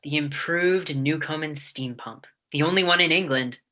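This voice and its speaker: A woman's voice: sits low, normal speed, pushed and bright. A young woman acting a deferential male landscape gardener in a staged reading, obsequious and apologetic. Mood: nervous pride. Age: young adult. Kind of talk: staged reading of a period comedy.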